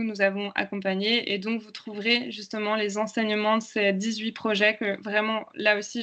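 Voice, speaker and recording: A woman's voice: medium pitch, fairly bright tone. A French woman giving a webinar presentation on health, hesitant and full of fillers over a video call. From France. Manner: hesitant, many fillers and restarts.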